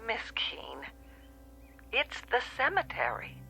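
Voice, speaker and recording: Bright, official woman telephone operator. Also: a little high, huge pitch swings, slow, vocal fry, heavily acted. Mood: grave, concerned. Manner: patient, firm, official. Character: polite, matter-of-fact female telephone company operator. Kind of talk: vintage radio drama acting. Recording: radio drama with music underneath.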